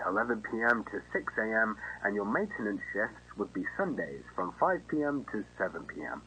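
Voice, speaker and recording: A man's voice: fairly low pitch, pushed and bright, low-quality recording. A man playing a friendly, casual restaurant manager reassuring a job applicant in an acted phone conversation. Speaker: voice actor in an analog horror video. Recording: faint noise and hum.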